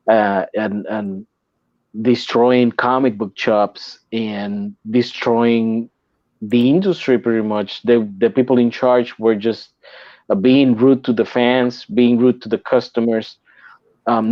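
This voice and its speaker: A man's voice: mid-range voice, no echo, lo-fi sound. Puerto Rican man, stumbling casual talk. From Puerto Rico. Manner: repeats and restarts words, animated when making a point. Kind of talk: casual podcast chat.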